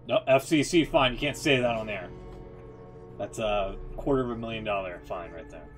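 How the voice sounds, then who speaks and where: A man's voice: on the low side, normal speed, over music. A man streaming a game, reading text aloud and commenting in a casual, musing, offhand way with some swearing.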